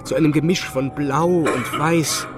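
Actor as a haunted narrator over prominent music. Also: male voice, fairly high pitch, steady tone, a little slow, close to an everyday voice. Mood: entranced. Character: haunted male physicist narrating his own visions. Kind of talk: dramatic first-person narration in radio drama.

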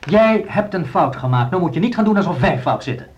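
A man's voice: a little high, lively intonation, brisk, muffled recording, lightly acted. An actor playing a blunt, procedure-minded man on a music school staff in a Dutch radio drama, argumentative in manner. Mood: confrontational.

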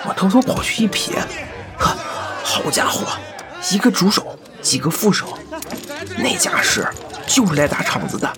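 Audio drama henchman: indignant, loyal, excitable, higher register, animated delivery, music and crowd behind. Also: normal speed. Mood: sneering, indignant. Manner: chatty, sneering, fawning. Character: excitable, indignant, loyal young male gangster underling. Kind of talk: Mandarin audio drama acting.

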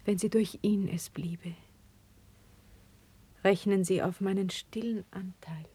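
An actress playing a dignified, guarded queen in a formal, hushed manner, in the style of classical 1950s radio drama. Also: medium pitch, fairly even intonation, slow pace, husky. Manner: formal, stately, hushed verse delivery.

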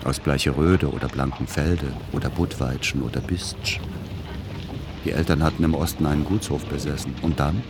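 An actor playing a melancholy grown son, narrating his past in a measured, reflective radio play style. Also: a bass voice, fairly even intonation, noisy background. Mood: somber, reflective. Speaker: first-person narrator.

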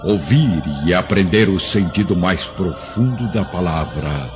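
A male narrator with a very deep voice tells a devotional radio drama, speaking very slowly and solemnly. A clear orchestral score plays under him, and the sound is slightly muffled. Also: expressive, loud music behind the voice.

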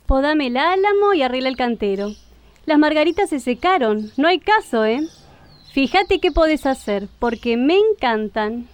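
A woman's voice: high voice, sing-song, normal speed. A woman playing a bossy, disapproving Argentine mother in a radio drama, in a nagging, scolding manner. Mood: fussy, distrustful. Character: bossy, disapproving, gossipy mother of a teenage daughter.

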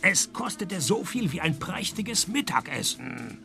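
An actor playing a world-weary, wisecracking private detective in a radio play, dry and deadpan, with a husky, gravelly voice. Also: male voice, high-pitched, unhurried, music in the background, exaggerated voice. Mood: dry, sardonic. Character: sardonic, world-weary male private detective, middle-aged, broke. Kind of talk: first-person radio play narration and dialogue.

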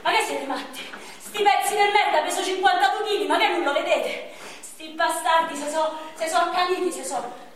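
Battered, bitter young man, played by an actress; shouts crude curses; distant, roomy stage sound. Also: very high-pitched, normal speed, crowd noise. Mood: furious. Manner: shouting, crude, furious outbursts. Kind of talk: stage monologue. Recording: echoey stage from far off, noisy.

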